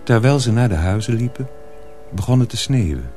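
A man's voice: medium pitch, lively intonation, a little fast, warm tone, faint music behind. A man narrating a radio drama as a storyteller, reading a dramatic script.

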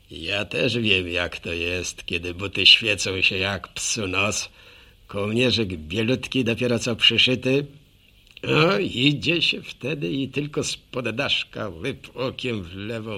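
An actor playing a folksy older village man with a rural Polish accent, telling a wry, rambling radio drama monologue in a gravelly voice. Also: lowish voice, sharp tone, heavily acted. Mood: chatty, wry.

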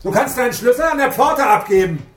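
An actor playing a stern, suspicious police superior, curt and authoritative, in a radio crime drama. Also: male voice, very high voice, expressive, fairly quick. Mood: angry, accusing.

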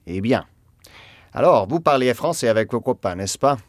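A man plays a stern interrogator in a radio play. His mid-pitched voice is recorded very dry, and he puts his questions in curt, formal, insistent bursts. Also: lively intonation, normal speed, dry recording, natural voice. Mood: probing, curt. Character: stern, probing official interrogating a job applicant. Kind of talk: radio drama dialogue.

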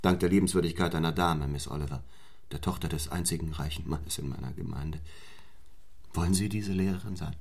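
An actor playing a stern, ambitious young clergyman in a German radio play, speaking in a measured, formal and probing manner. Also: very deep voice, gravelly, darkish tone, husky, exaggerated voice. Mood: earnest, intense.

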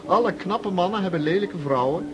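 A man acting in a radio drama as a worldly gentleman guest, jocular and a little patronising. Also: high-pitched, animated, brisk, over a noisy crowd. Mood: amused.

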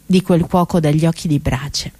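A woman's voice: deep voice, steady tone, normal speed, warm tone, no echo. A woman narrating a radio play as an actress, in a dramatic, tragicomic storytelling style. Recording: music behind the voice. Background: music.

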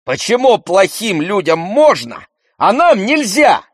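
A man voicing a hot-headed, indignant young boy in an audiobook, shouting and insistent. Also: high-pitched, big pitch swings, slow, slightly rough, no echo, clean studio sound, pitched above the performer's own voice, heavily acted. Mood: outraged. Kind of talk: audiobook character voice.

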